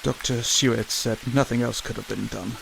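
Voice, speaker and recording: Young aristocrat in mourning. Period drama, restrained, hesitant, creaky voice. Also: male voice, mid-range voice, steady tone, normal speed, noisy background. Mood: subdued grief. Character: polite, reserved, bereaved young English lord. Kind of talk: audio period drama acting.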